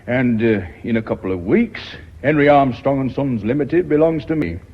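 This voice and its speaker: An actor playing a rude, domineering businessman in a British radio drama, curt and brusque, with a husky voice. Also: mid-range voice, lively intonation, normal speed. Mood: blunt confidence. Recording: muffled old radio broadcast.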